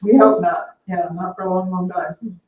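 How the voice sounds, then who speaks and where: A woman's voice: fairly low pitch, fairly even intonation, moderate pace, phone-quality sound. A woman participant making conversational remarks in a board meeting. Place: indoor town board meeting room. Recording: echoey room, distant mic, faint noise.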